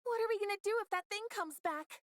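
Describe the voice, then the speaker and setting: A woman voicing a small, excitable, fretful young girl fighter in a game cutscene, girlish and emotive. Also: very high-pitched, fast talker, dry recording, clean studio sound. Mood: worried, exasperated. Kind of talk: English game cutscene dub acting.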